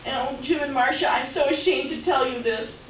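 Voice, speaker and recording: Woman acting high-voiced, melodramatic old flame. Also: fairly quick, close to an everyday voice. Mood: confessional, flighty. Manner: gushing, theatrical, swinging from laughter to tears. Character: overwrought, clingy middle-aged old flame, melodramatic and unhappy. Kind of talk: comic stage-play reading. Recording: roomy, distant, muffled noisy live recording.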